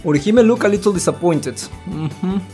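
Rambling man doing lively game commentary. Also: sits high, moderate pace, music in the background. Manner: rambling, stumbling over words, swearing mildly. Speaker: let's player. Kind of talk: casual reaction commentary while reading a visual novel aloud.

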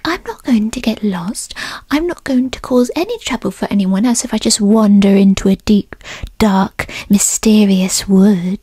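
A woman voicing a bratty, insecure centuries-old kitsune fox spirit in a huffy, breathy ASMR whisper, close to the mic. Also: mid-range voice, a little mellow, dry recording. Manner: huffy, sighing, breathy whispering, drawn-out words. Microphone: close microphone in a dry space.

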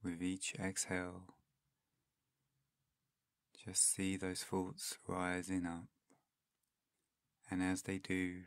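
A man guiding a meditation in a soft-spoken, soothing, unhurried manner with long pauses between phrases. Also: sits low, monotone, dry recording. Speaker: meditation guide. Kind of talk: guided meditation narration.

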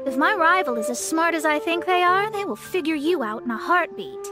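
A woman's voice: extremely high pitch, animated, fairly quick, music in the background. A woman voicing a proud, easily flustered young astrologist in a video game, speaking in haughty, formal phrases. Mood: self-important.

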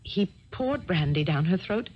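A frightened young heiress. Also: female voice, on the low side, lively intonation, measured pace, dull audio, a little hiss or hum. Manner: fearful, earnest, hesitant. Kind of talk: 1970s radio drama acting.

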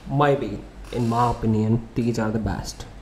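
Brief: male tutor, mid-pitched, casual screen-share walkthrough, faint hiss. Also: steady tone, measured pace, a little mellow. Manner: loose, conversational explaining with fillers and restarts. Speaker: SEO tutorial presenter.

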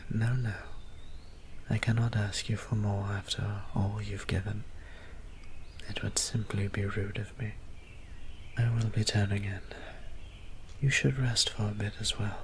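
Male actor as courtly, shy vampire priest, ASMR audio drama. Soft, breathy, very even delivery, slow and tender. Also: fairly low pitch, slight background noise. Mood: gentle, fond. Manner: hesitant, tender, formal old-fashioned wording.

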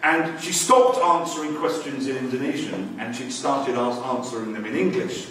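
A man in his fifties telling a personal story at a conference, animated and acting out quoted voices. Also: mid-range voice, normal speed, a little hiss or hum. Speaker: conference speaker. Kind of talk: storytelling talk. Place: conference room with projector screen. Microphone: far camera, no mic. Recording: roomy echo from far off.

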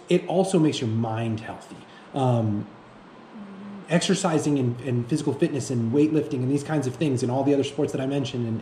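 A man in his thirties speaking off the cuff to camera as a fitness vlogger, haltingly, often repeating himself and searching for words. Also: medium pitch, warm tone. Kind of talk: reflective unscripted monologue to camera. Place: indoor room with bare walls. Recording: echoey room with faint background noise.

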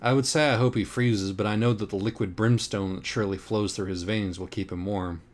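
A grandiose, foul-mouthed philosophizing girl from a parody storybook, played by a man. The voice is low and pompous, declaiming each line. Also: steady tone, brisk, natural voice. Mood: grim, exasperated.

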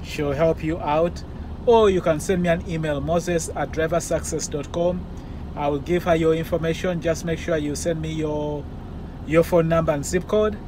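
Higher-voiced man vlogging over clear noise. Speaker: truck driver vlogger giving advice. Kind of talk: casual vlog talk to viewers. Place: mostly inside a truck cab, some outdoors. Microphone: phone or camera at arm's length. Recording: noisy handheld recording with vehicle ambience.

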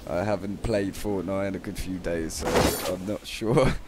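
A man's voice: low voice, fairly even intonation, unhurried, heavy background noise. A man streaming a game, chatting casually with friends and asking viewers for likes. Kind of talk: casual gaming chat.

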